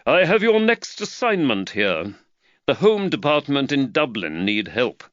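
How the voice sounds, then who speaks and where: A man voicing an earnest middle-aged London magistrate who lectures with energy, higher and louder than his own voice. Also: a little slow, dry recording, dull audio. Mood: animated. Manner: lively, preachy, warms to his theme.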